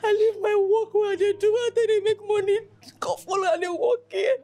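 A woman plays a sacked cook with a Nigerian Pidgin accent, weeping as she pleads her case. Her voice is very high, with soft music behind. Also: steady tone, moderate pace. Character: crying young woman cook, just sacked.

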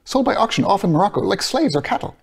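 A man's voice: high-pitched, big pitch swings, quick pace, creaky, husky, voice pushed up, exaggerated voice. A man reading aloud and voicing an earnest, anxious middle-aged man who pleads with rising passion. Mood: impassioned. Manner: impassioned, rising to pleas.

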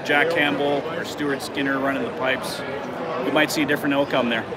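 A man's voice: mid-range voice, flat delivery, a little fast. A man, casually commenting on a game as a fan, picked up by a companion's phone in a busy arena entrance. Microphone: phone held by companion, slightly off. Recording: very loud urban crowd and traffic noise.

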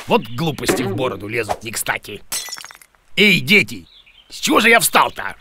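A woman voicing a forgetful, blustering bearded cartoon creature, muddled and halting, with a gravelly voice, telling stories to children. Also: rumbling low, big pitch swings, heavy background noise. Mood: muddled, grumbling. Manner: muddled, halting, grumbling, exclamatory. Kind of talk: children's cartoon acting, rhymed storytelling. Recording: loud music behind the voice.

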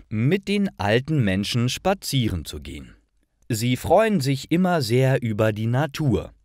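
A man reading scripted stories for language learners, with careful, deliberate enunciation. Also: on the low side, no room sound, clean studio sound. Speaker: language-course narrator.

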